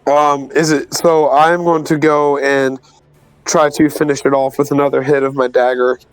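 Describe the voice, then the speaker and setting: A man's voice: medium pitch, little pitch movement, normal speed, faint music behind, low-quality recording. A young man playing in a tabletop game, talking casually and bluntly, with some swearing. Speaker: player.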